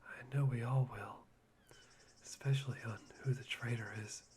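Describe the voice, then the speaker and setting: A man playing an unsettling, self-deprecating young man obsessed with hope, breathy and theatrical in an anime-style ASMR roleplay. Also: mid-range voice, steady tone, moderate pace, darkish tone, close to an everyday voice. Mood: fervent. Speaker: voice actor in fan roleplay. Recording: clear background noise, insect ambience.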